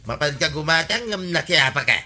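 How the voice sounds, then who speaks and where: A man's voice: a little high, lively intonation, brisk, sharp tone, faint music behind, heavily acted. A man with an Ilocano accent playing a grumbling, put-upon village husband in a radio comedy drama. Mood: reproachful.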